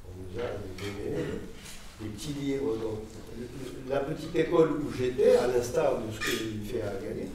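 Older man telling stories in an echoey room. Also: mid-range voice. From Morocco. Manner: digressive storytelling, lively gestures, frequent fillers. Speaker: guest speaker. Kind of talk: informal lecture to students. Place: bright seminar room with audience. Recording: echoey room, distant mic, faint noise.

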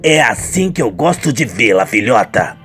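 A performer voicing a doting, encouraging middle-aged father in a radio soap, gentle and paternal. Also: medium pitch, big pitch swings, moderate pace, soft background music, voice pushed down. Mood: proud, encouraging.

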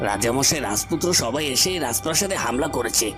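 A man's voice: mid-range voice, expressive, quick pace, over music, natural voice. A man voicing a cornered, treacherous villain in a cartoon, melodramatic, swinging between menace and pleading. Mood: threatening. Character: cornered treacherous male villain, threatening then begging for life.

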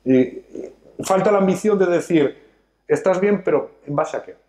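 A man's voice: high voice, moderate pace, mellow. Guy in his 30s, talk show studio, preaching his point hard, gesturing a lot. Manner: emphatic, lecturing, gestures a lot while talking. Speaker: financial educator, show host. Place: studio set, seated on a sofa.

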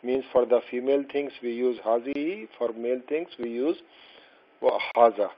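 Male language tutor, not a native speaker of Arabic, mid pitch, patient and halting with fillers, dull lo-fi voice-over. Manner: slow, patient explaining with many fillers and restarts. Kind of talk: explaining a textbook lesson. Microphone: voice-over over slides.

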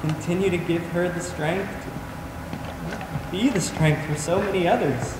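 An actor on a theatre stage playing a sick, humble young man praying earnestly and haltingly for forgiveness. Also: high-pitched, natural voice. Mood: remorseful pleading. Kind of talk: stage play acting. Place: large theatre stage, recorded from the audience. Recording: very echoey theatre, distant, noisy.

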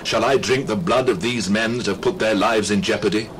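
A low, earnest male voice, an actor as a devout warrior leader in a Bible drama. He speaks solemnly, with faint noise behind him. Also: brisk, close to an everyday voice, poor audio. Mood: solemn refusal.

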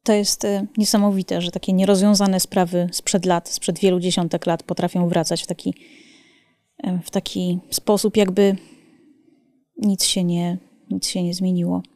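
A woman's voice: fairly low pitch, flat delivery, normal speed, warm tone, no room sound. A woman hosting a podcast, asking probing conversational questions into a studio microphone. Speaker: podcast host. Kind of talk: interviewing. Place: small studio. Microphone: studio microphone on arm.

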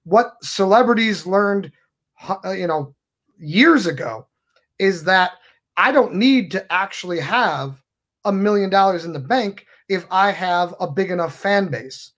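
A man's voice: high-pitched, expressive, a little slow, a bit bright. A middle-aged man, a magician guest, talking in an expansive, philosophical way during a casual podcast chat over a video call. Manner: expansive, philosophical, gestures a lot.

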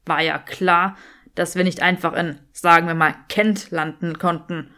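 A sarcastic young man from a fantasy audiobook, acted out by a woman. He is grumpy and dry, with sardonic jabs in a lowered voice. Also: fairly even intonation, unhurried, bright, forceful tone. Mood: dry, weary.

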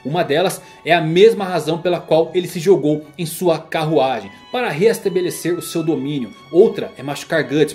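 A man's voice: on the high side, a little mellow. A man with a Brazilian accent delivering dramatic scripted narration, like a storyteller explaining a saga. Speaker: narrator of an anime and manga explainer channel.

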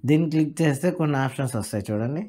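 మగవాడు, తెలుగు యాస, యాప్ వివరణ, ఇంగ్లీష్ పదాలు కలిపి మాట్లాడటం. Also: male voice, fairly high pitch, very fast, mellow. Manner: explains plainly, mixing English words into Telugu. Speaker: tech channel host. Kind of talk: screen-recorded app tutorial.

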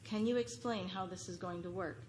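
A woman's voice: fairly low pitch, normal speed, darkish tone, a little hiss or hum. A middle-aged woman reads an earnest, pleading prepared statement as a public commenter at a podium microphone in a large council chamber. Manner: earnest, pleading, read from notes.

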